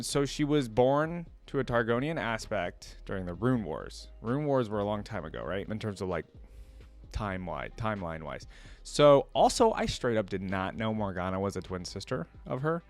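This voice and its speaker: A man's voice: lowish voice, no room sound. A man hosting a reaction video, talking conversationally and thinking aloud as he comments and reads lore.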